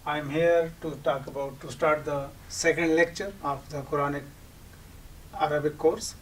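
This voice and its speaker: Distant-miked Pakistani man teaching, slightly high voice. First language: Urdu. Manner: explanatory, posing questions then answering them. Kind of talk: instructional lecture. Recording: distant microphone, audible background noise.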